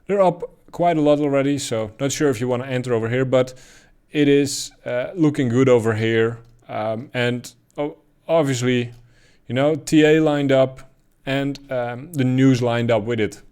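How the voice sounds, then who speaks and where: A man with a Dutch accent talks through trading charts at an easy, unhurried clip. His pitch sits in a middle register, and he fills pauses with ums and uhs as he thinks out loud. It sounds like a relaxed voice-over recorded at a home desk. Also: clean tone. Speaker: crypto chart analyst. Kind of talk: casual market commentary over charts.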